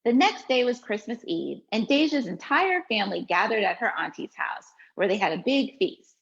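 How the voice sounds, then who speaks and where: Woman, author reading her picture book, expressive storytelling, gravelly voice, lo-fi dull recording. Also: fairly high pitch, moderate pace. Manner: expressive storytelling, relaxed with fillers when answering. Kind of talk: read-aloud storytelling, then interview answers.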